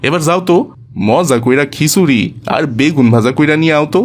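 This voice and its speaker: A man's voice: a little high, fairly quick, a little hiss or hum, lightly acted. A man playing a poor, hospitable village labourer and father with a rural Bangladeshi accent in a moral cartoon, talking in an earnest, folksy way. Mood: happy, grateful. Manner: earnest, folksy colloquial talk. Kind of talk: Islamic moral cartoon dialogue.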